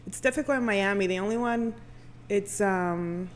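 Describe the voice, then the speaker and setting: A woman's voice: medium pitch, moderate pace. A young woman talking casually and informally as a radio personality guest on a podcast. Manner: chatty, informal. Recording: clear background noise.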